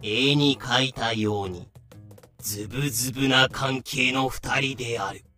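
Bright-voiced man narrating comedic audio drama. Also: medium pitch, unhurried, soft background music. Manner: explanatory with dry sarcastic asides.

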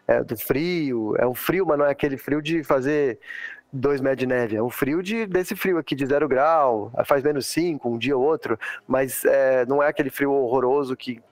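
A man from Brazil, a podcast guest telling his story in a relaxed, chatty way. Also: medium pitch, normal speed, no echo. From Santa Catarina, southern Brazil. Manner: relaxed storytelling, frequent "cara" and "né". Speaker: podcast guest, airline pilot. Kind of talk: casual podcast chat.

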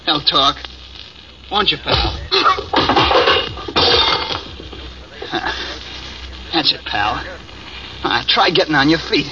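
Gruff thug henchman, kinda high sharp voice, old lo-fi radio sound. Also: animated, very slow, pauses often, faint music behind, full character voice. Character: male henchman Felix, rough thug. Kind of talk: 1940s radio acting. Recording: dull lo-fi old radio recording.

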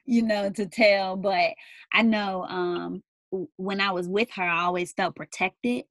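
A young woman in casual conversation with her mother, talking thoughtfully with many pauses and fillers. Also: mid-range voice, fairly even intonation, a bit bright, dry recording. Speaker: daughter interviewing her mother.

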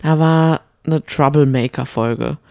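A woman's voice: deep voice, slow, gravelly, darkish tone, no room sound, studio quality. A woman co-hosting a casual podcast, bantering in a chatty, teasing way. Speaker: podcast co-host. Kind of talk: casual banter recapping reality TV.

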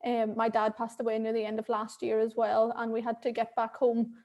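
A woman with a thick Irish accent talks candidly as a guest over a video call. Her voice sits a little high, and she laughs easily and trips over repeated words as she gathers her thoughts. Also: monotone, brisk, warm tone, muffled recording. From Ireland. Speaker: interview guest. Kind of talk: casual video-call conversation.

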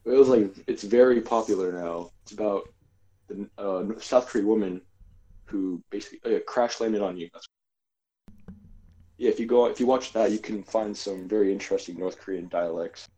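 A man's voice: lowish voice, normal speed, mellow, poor audio. A man co-hosting a podcast, talking casually in a rambling way with lots of fillers and hedges. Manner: rambling, filler-heavy, hedging. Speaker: podcast co-host. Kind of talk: casual chat.

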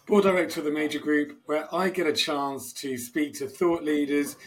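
A man's voice: on the high side, steady tone, normal speed. A man hosting a podcast, introducing his guest in an upbeat, enthusiastic way. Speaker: podcast host.

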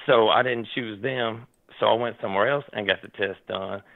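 Hoarse raspy man calling in by phone. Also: fairly low pitch, moderate pace. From Tennessee. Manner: rambling, animated, many fillers like "man". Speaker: caller. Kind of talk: casual call-in chat. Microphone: phone line. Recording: tinny phone line.

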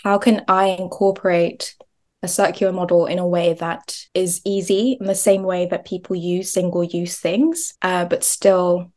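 A woman's voice: lowish voice, steady tone, measured pace, darkish tone. A young woman from Nigeria talking chattily as a podcast guest, often laughing and restarting words. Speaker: guest, business founder. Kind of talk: podcast interview.

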